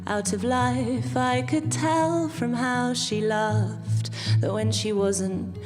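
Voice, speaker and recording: A woman performing a scripted storytelling monologue in a measured, wistful, lyrical manner. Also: on the high side, in a large hall. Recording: acoustic guitar music behind voice. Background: plucked guitar music.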